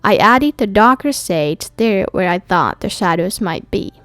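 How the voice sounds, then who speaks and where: A woman's voice: mid-range voice, expressive, unhurried, a bit bright, no room sound. A woman from Finland, a native Finnish speaker with a Finnish accent, narrating a scripted craft tutorial as its host. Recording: background music under voice. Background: music.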